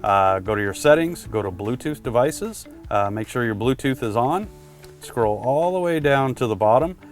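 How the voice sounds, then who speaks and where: A man's voice: mid-range voice, animated, moderate pace, soft background music. A man presenting product tips in a casual, conversational instructional style, talking directly to the viewer.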